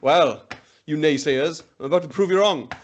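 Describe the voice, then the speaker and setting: Upbeat man demoing software. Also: a little high, normal speed, lo-fi sound. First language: Welsh. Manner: upbeat, chatty, thinks aloud. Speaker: webinar presenter. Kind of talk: live software demonstration. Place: indoor room, on a video call.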